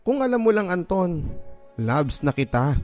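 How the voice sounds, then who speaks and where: A man's voice: sits high, very animated, unhurried, a little mellow, pitched above the performer's own voice. Guy doing a shy smitten young model girl, stammery and giddy, radio drama w music under it. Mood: secretly smitten. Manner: stammering, giddy, shyly polite.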